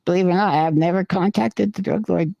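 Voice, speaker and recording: A man's voice: high voice, steady tone, fast, gravelly, warm tone, no echo, highs cut off. A man co-hosting a podcast, telling a long rambling anecdote in casual chat and swearing freely. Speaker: podcast co-host. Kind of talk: casual storytelling.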